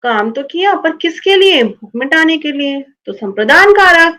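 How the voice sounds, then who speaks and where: A woman's voice: high voice, big pitch swings, normal speed, sharp tone. A woman teaching a grammar lesson to children, explaining step by step and often checking understanding with questions.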